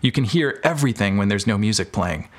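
A man's voice: on the low side, lively intonation, fairly quick. A man talking casually as an interview guest, explaining his creative work with examples. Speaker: podcast guest, audio drama maker and filmmaker.

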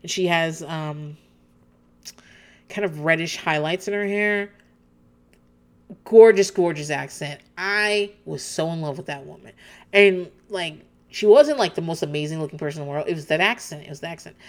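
A woman's voice: low-pitched, moderate pace. A woman host chatting casually as she recaps a reality show, swearing freely and laughing often.

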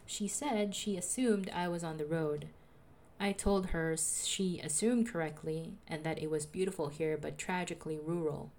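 A young woman with a soothing voice, calmly narrating a personal audio diary as a podcaster. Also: deep voice, normal speed. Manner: calm, reflective, confessional.

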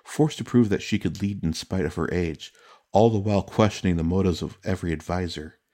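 Deep-voiced man narrating fantasy fiction. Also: a little fast, warm tone. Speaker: audiobook narrator. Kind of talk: scripted fantasy story narration.

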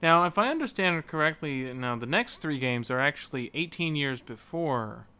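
A man's voice: on the high side, animated, normal speed, pushed and bright, smooth. A man co-hosting a podcast in casual chat about video games. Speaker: podcast co-host.

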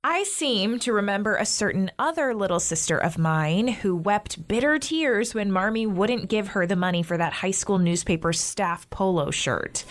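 A woman's voice: mid-range voice, lively intonation, moderate pace, hardly any pauses, natural voice. Casting: woman as indulgent young eldest sister, mid-range voice, peacemaking, lightly teasing, contemporary audio drama. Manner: conciliatory, gently teasing. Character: Meg, caring indulgent young big sister. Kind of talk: modern audio drama acting.

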